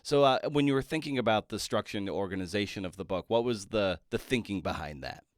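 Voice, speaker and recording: Male podcast host. Deep, clean voice, dry close sound, thoughtful and halting conversational delivery. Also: no echo. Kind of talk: conversational interview.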